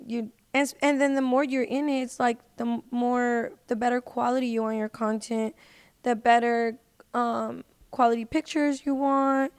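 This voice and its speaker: A woman with a fairly high, very clear and smooth voice talks casually as a podcast guest. Her delivery is earnest and conversational, with little rise and fall in pitch. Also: level tone, moderate pace. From United States. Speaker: podcast guest, singer and social media personality.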